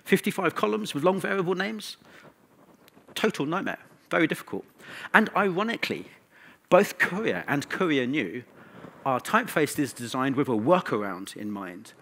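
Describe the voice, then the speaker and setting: A man's voice: a little high, sing-song, normal speed, gravelly, a bit bright. Man giving a wry technical talk. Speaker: conference speaker.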